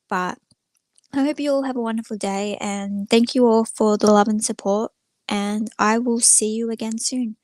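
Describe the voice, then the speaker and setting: A woman's voice: mid-range voice, no echo. A young woman chatting casually to viewers on a livestream in a rambling, informal, self-effacing way. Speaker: live streamer.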